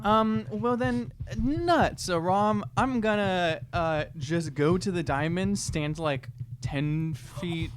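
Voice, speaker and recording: A man's voice: high-pitched, takes it slowly. A man playing a tabletop game, chatting casually, thinking aloud hesitantly and joking as he goes. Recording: clearly noisy background with clicks.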